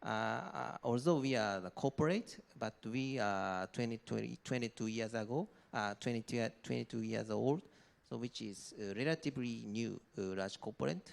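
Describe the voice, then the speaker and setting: Halting gravelly Japanese-native man. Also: medium pitch. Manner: halting, many uh fillers and restarts. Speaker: panelist. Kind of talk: conference panel talk.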